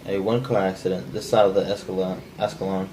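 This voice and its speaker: A man reading the part of a formal, matter-of-fact police officer in a flat, halting script read, with a gravelly voice. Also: deep voice, lightly acted, poor audio. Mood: businesslike. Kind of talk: cold table read of a film script. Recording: faint background noise.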